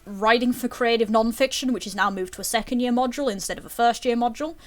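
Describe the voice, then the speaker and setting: Chatty young woman, high voice. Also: quick pace. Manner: chatty, swears freely, laughs often. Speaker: streamer. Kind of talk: casual chat while gaming.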